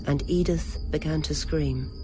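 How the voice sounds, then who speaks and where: Measured storyteller over loud music. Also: mid-range voice, steady tone, poor audio. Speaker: narrator. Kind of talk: scripted dramatic narration of a novel.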